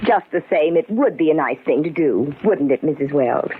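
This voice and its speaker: An actress playing a courteous, solicitous, quietly insistent private nurse in 1940s radio style. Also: female voice, sits low, expressive, normal speed, breathy edge, full character voice. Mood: persuasive. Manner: polite, soothing, insistent. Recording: tinny, hissy 1940s radio recording.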